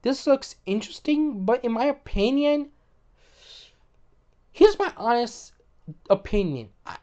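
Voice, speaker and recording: A woman hosting casual gaming commentary, opinionated, often repeating and restarting words, her voice getting hoarse. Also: fairly high pitch, a little mellow. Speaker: gaming video host.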